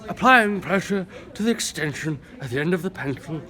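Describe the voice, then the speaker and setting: A young man with a lively, swinging voice, joking with friends and breaking into a mock nature-documentary reading. Loud music plays behind him indoors. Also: high-pitched, fairly quick. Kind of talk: casual joking and mock narration. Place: indoors, on set. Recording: music playing loudly behind.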